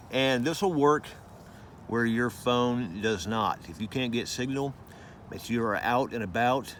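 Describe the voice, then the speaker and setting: A man's voice: mid-range voice, fairly bright tone, slight background noise. A man giving a casual hands-on product review, explaining things informally and loosely with some repetition.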